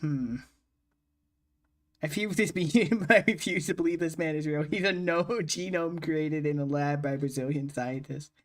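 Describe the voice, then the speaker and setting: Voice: medium pitch, moderate pace. A streamer commenting casually on a video game, mocking it with frequent laughs and swearing.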